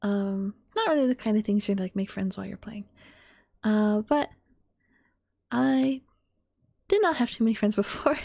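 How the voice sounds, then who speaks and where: A woman of twenty-one chatting to her stream in a soft ASMR whisper, rambling and giggly. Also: medium pitch, moderate pace, vocal fry, pauses often, no echo, clean studio sound.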